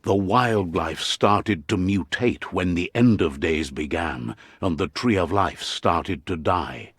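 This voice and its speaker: A man playing a wry, solemn storybook narrator of a dying animal world in a video game, speaking in a measured, theatrical, portentous way. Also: sits low, fairly bright tone, husky, no room sound, clean studio sound, full character voice. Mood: grave, warning.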